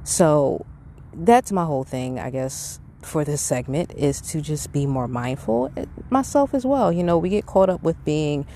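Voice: medium pitch, animated, measured pace, mellow. A middle-aged podcast host giving an earnest, reflective, conversational monologue, talking casually. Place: outdoors. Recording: windy outdoor background noise. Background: wind, outdoor ambience.

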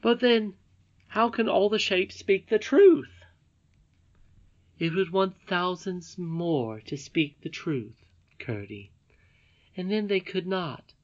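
A woman voicing a calm, wise, ageless grandmother figure from a storybook, in a measured, patient way. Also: lowish voice, voice pushed up. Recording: dull lo-fi recording.

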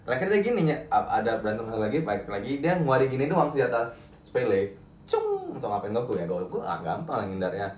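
A man's voice: mid-range voice, huge pitch swings, quick pace, a little mellow, reverberant, distant mic, a little hiss or hum. A man chatting casually about old cartoons with friends, retelling scenes with plenty of laughter. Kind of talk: casual chat among friends.

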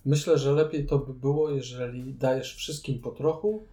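Mellow-voiced man in casual discussion. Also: medium pitch, fairly even intonation, normal speed. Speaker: podcast co-host. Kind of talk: casual board game discussion.

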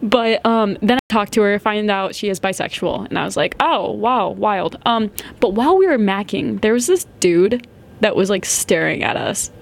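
A woman's voice: medium pitch, animated, normal speed, creaky. A woman in her twenties hosting a podcast, telling a story in a chatty, giggly way and saying "like" a lot. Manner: chatty, giggly, heavy use of "like". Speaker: podcast host. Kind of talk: casual storytelling.

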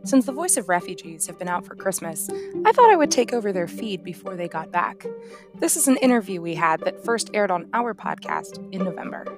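Podcast host, woman, bright and enthusiastic delivery, mid-range voice, music bed behind. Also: mid-range voice, sing-song, brisk. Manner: upbeat, enthusiastic. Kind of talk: podcast interview hosting. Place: small podcast studio.

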